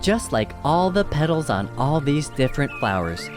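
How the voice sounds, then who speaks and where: A storybook reader giving scripted children's narration in an expressive, measured rhyming rhythm. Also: medium pitch. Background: background music.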